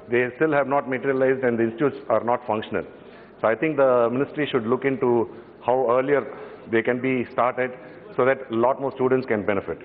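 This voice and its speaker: Middle-aged male MP, Tamil-accented English, hesitant delivery, reverberant hall on narrow audio. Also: medium pitch. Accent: Tamil Indian English. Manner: hesitant, many fillers and restarts, glancing at notes. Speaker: member of parliament. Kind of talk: parliamentary speech. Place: large parliament chamber. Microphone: desk microphone from standing. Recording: echoing hall, phone-like broadcast audio.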